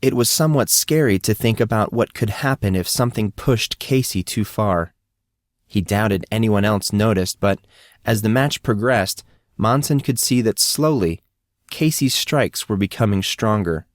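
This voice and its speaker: Low-voiced man narrating, close and dry. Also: lively intonation, measured pace, no room sound. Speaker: audiobook narrator. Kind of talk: scripted fiction narration.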